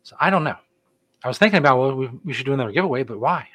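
A man's voice: mid-range voice, expressive, very fast, a bit bright, no echo. A middle-aged man co-hosting a casual podcast, laid-back and joking as he rambles through announcements. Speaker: podcast co-host. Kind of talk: casual chat.

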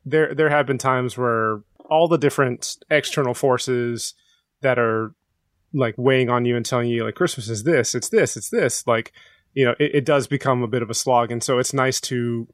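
A man's voice: medium pitch, fairly even intonation, moderate pace, no room sound, studio quality. A man on a podcast panel talking reflectively and casually, with an earnest, affirming, thoughtful way of speaking.

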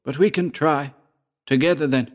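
A wounded, proud landowner, voiced by a man reading a story, speaks in a hoarse, strained voice lifted well above a low narrating register. He is halting and earnest, an old enemy softening. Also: lightly acted. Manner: slow, jerky, strained delivery.

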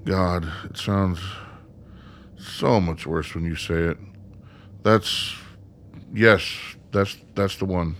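An actor playing an awkward, uneasy newcomer in a radio play, speaking casually in a halting, pleading way. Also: male voice, sits low, a bit bright, a little hiss or hum, heavily acted. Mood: embarrassed, evasive. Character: awkward, uneasy newcomer man confined in a facility. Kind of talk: naturalistic radio play acting.

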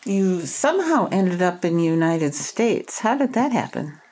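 A woman hosting a podcast interview, reading a formal introduction and asking questions in a measured way. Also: lowish voice, big pitch swings, a little mellow.

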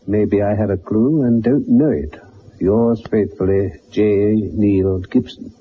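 An actor playing a genial, loyal gentleman with an English accent, well-spoken and literary, in the style of 1960s radio drama. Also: fairly low pitch, slow. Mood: measured reading. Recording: muffled, noisy 1960s radio recording.

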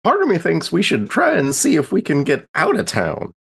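A man's voice: fairly high pitch, huge pitch swings, moderate pace, gravelly, slightly rough. A man thinking aloud in casual conversation as a player in a tabletop story game.

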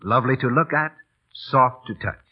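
A man's voice: mid-range voice, moderate pace, husky. A man reading a scripted 1930s radio advertisement as the show's announcer. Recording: muffled old 1930s radio recording.

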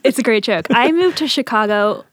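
A woman's voice: on the high side, lively intonation, brisk, no echo. Casual woman from southern Ohio, close dry sound. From southern Ohio Appalachia, then Richmond, Virginia. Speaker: interview guest. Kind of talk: casual conversational storytelling.

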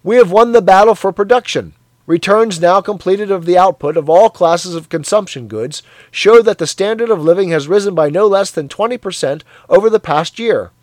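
An eager, youthful male announcer , put on by a narrator, cries out glorious news in a high, ringing voice. He proclaims every line, and the sound is dry. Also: normal speed, a little mellow, dry recording, lightly acted. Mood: proclaiming.

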